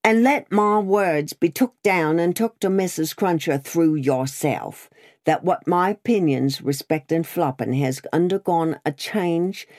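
A woman's voice: mid-range voice, a little mellow, dry recording, studio quality, voice pushed up. A woman voicing an earnest, comically preachy working-class London man, solemn and emphatic, in a storybook reading. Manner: solemn, emphatic, holding forth as from a pulpit.

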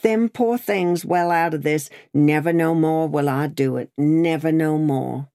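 A woman's voice: medium pitch, expressive, slow, a little mellow, dry recording, studio quality, pitched above the performer's own voice. A woman voicing an earnest, comically preachy working-class London man, solemn and emphatic, in a storybook reading. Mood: solemn, trembling. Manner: solemn, emphatic, holding forth as from a pulpit.

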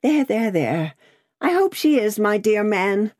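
A woman's voice: fairly low pitch, very animated, normal speed, a little mellow, pitched above the performer's own voice. A woman voicing an agitated, prim and devoted Englishwoman in a storybook reading, urgent and tearful. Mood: flustered, composing herself. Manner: urgent, exclaiming, prim and formal.

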